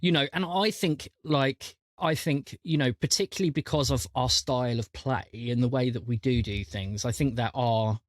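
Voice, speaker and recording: A man chatting casually as a podcast host and game master, often repeating words as he thinks out loud. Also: mid-range voice, normal speed, hardly any pauses, no echo.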